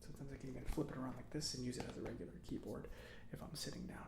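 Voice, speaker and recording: A man playing an eccentric, kindly mechanic in a soft-spoken ASMR roleplay, with halting, reassuring murmurs and a breathy voice. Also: medium pitch, level tone, reverberant, noisy background, close to an everyday voice. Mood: chatty. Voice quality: breathy whispering, creaky. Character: eccentric, giddy, kindly male government robot mechanic.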